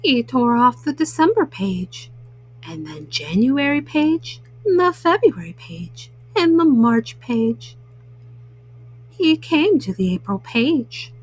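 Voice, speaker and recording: A woman hosting children's storytime, reading gently and speaking soothingly and directly to young listeners. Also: high-pitched, very animated, slow pace, breathy edge, poor audio.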